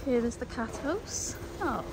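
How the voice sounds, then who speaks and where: A woman's voice: on the high side, expressive, measured pace. A British woman chatting casually as she narrates a travel vlog on location. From United Kingdom. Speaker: travel vlogger. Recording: noisy outdoor ambience, vehicle sounds.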